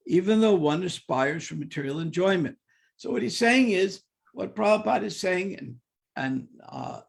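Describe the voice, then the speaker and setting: An older man with a heavily gravelly, creaky voice and a fairly high pitch gives a devotional class. He talks conversationally, jokes with the listeners and stacks up repeated "and, and" restarts as he tells stories. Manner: conversational, humorous, questions the audience. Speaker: class speaker.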